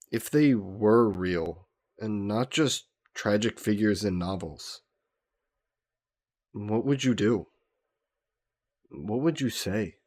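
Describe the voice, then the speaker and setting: A man playing a gentle, wistful immortal vampire scholar in an intimate audio roleplay, courteous and reflective, musing with hesitant pauses. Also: lowish voice. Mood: thoughtful.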